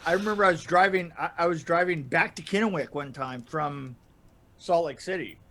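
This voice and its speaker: An older man telling anecdotes casually in a rambling, halting way, chuckling as he goes. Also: fairly high pitch, pushed and bright. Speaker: podcast storyteller guest. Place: indoor room on a video call.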